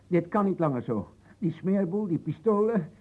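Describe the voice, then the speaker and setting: Fussy jittery man, highish voice, defensive, tinny old radio play. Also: expressive, moderate pace. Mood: agitated, defensive. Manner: evasive, agitated, muttering. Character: fussy, nervous man obsessed with dusting and post. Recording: thin, phone-like old radio play recording.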